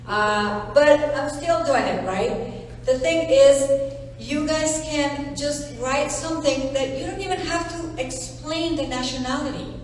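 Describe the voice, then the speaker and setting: Animated Mexican-accented woman in echoey hall. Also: sits high, slight background noise. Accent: Mexican Spanish. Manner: animated, emphatic, quick asides. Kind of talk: panel discussion. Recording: echoey hall, far from mic.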